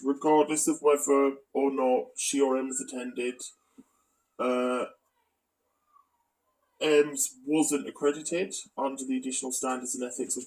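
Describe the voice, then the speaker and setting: Male commentator, mid-pitched with noticeable vocal fry, critical and matter-of-fact, reading out evidence. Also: darkish tone, poor audio. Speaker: video commentator presenting an exposé.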